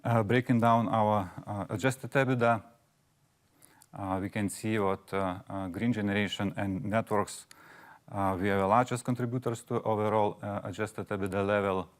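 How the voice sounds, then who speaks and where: A man delivers financial results formally, as a finance chief would. He moves through the material haltingly, with many small hesitations between phrases. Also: lowish voice, steady tone.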